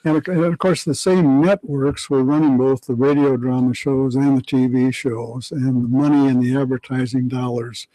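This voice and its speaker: A man's voice: mid-range voice, steady tone, normal speed, hardly any pauses, mellow, low-quality recording. An older man, a radio historian, answering interview questions briefly and matter-of-factly in a radio studio. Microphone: studio mic with headphones.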